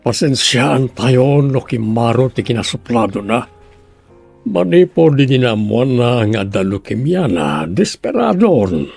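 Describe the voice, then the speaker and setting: A man's voice: medium pitch, sing-song, measured pace, vocal fry, darkish tone, husky, soft background music, heavily acted. A man with an Ilocano accent playing a relative of a sick young man in a radio drama. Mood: patient, sighing. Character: male family member of a sick young man, by turns teasing and patient.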